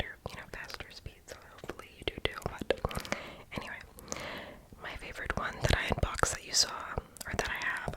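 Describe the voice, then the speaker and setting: Someone doing asmr voiceover, all breathy whisper, chatty rambling, keeps correcting themselves. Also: low-quality recording. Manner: casual, rambling, hesitant self-correcting talk. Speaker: ASMR video creator.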